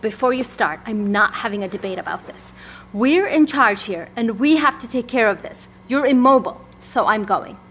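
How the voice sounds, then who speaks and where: Firm, higher-voiced young mother in charge. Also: animated, normal speed, a bit bright, slight background noise. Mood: determined. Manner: firm, decisive. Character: resolute, protective woman leader and young mother. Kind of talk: audio drama acting.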